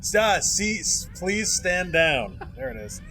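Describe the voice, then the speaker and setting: A man's voice: high voice, expressive, slow, over music. A man playing a tabletop roleplaying game in casual, rather hesitant chat. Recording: faint background noise.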